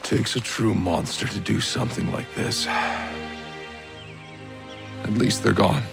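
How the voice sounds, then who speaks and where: A battle-weary militia commander in a video game cutscene. His voice is very low and gravelly, and he talks bluntly, with sardonic command, over faint music. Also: slow pace, full character voice. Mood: weary, grim.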